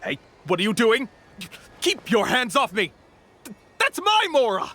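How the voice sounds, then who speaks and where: An actor playing a slick, smug, smooth-talking scam tour guide in a video game. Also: male voice, very high voice, huge pitch swings, unhurried, pushed and bright, exaggerated voice. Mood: defensive. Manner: smooth-talking, self-assured, taunting.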